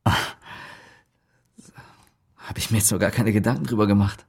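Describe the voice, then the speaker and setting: A man's voice: fairly low pitch, fairly even intonation, moderate pace, vocal fry, husky. An actor playing an awkward, friendly village man who rambles and is eager to please, in a radio play. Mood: chatty.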